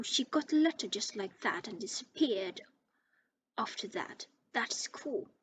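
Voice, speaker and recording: A woman's voice: on the high side, slight background noise, low-quality recording. A woman voicing a bitter middle-aged aunt who shrieks and rants spitefully.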